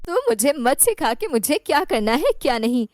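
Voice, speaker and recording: Woman playing a high-voiced, cruel scolding aunt. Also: big pitch swings, normal speed, a little mellow, dry recording, studio quality. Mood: menacing. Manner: threatening, imperious scolding. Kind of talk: Hindi cartoon moral story.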